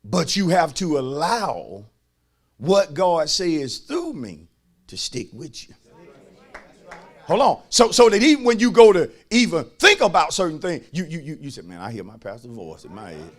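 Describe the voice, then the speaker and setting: A man's voice: fairly high pitch, very animated, moderate pace. A man preaching as a pastor, emphatic, repeating phrases and calling on his listeners to respond. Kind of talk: sermon.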